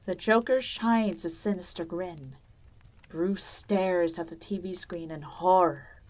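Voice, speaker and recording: A woman's voice: fairly low pitch, slow pace, darkish tone. A woman narrating a scripted audio drama as storyteller.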